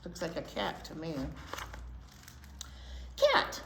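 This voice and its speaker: Expressive woman reading slowly to children. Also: a little high. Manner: expressive, drawn-out words, exclamations and asides to children. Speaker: storytime host, teacher. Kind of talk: animated read-aloud for young children. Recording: roomy echo, clear background noise.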